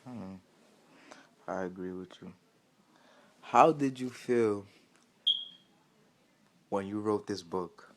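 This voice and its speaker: Male mock interviewer, halting questions, extremely slow, fairly low voice. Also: a little hiss or hum. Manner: halting questions with long pauses and restarts. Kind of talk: casual school role-play interview.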